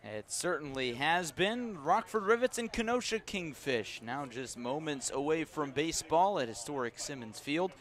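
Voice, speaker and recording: A man's voice: a little high, expressive, moderate pace, hardly any pauses, fairly bright tone. A man with an American accent calling a baseball game live on radio from an outdoor ballpark, mixing lineup announcements with casual banter.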